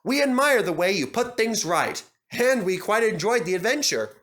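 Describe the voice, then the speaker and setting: A man's voice: sits high, very animated, normal speed, a bit bright, voice pushed up. A man voicing a courteous, admiring railway passenger with a polite, enthusiastic manner.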